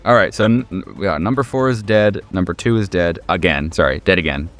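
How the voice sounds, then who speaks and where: A man's voice: sits low, a little fast, a bit bright, faint music behind. A man game mastering a horror tabletop game in casual, improvised narration, joking and swearing freely. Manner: profane, joking.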